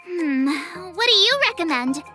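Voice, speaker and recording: Bubbly, very high-pitched teenage girl. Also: unhurried. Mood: playful, eager. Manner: perky, excitable, playful. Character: bubbly, cocky teenage girl learning swordplay. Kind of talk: anime-style video game voice acting. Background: game music.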